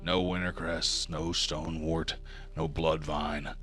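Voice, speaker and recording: A man's voice: a bass voice, level tone, takes it slowly, pushed and bright, soft background music, heavily acted. A man voicing a leering, twitchy giant scavenger in a tabletop game, drawing out his words with gloating bitterness. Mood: bitter grumbling.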